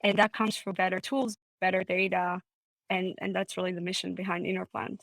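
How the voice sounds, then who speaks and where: A woman in her thirties from Israel, a startup CEO explaining her business clearly on a video call. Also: lowish voice, little pitch movement, brisk, fairly bright tone, dry recording, poor audio. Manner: articulate, explanatory. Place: home room, indoors.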